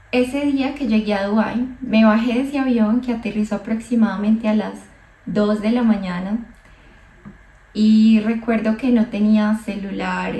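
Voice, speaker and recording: A young woman from Colombia, a psychologist, telling her own migration story slowly and thoughtfully, with hesitant restarts. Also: mid-range voice, warm tone, distant mic. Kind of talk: reflective personal monologue. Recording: echoey room, voice a bit off mic.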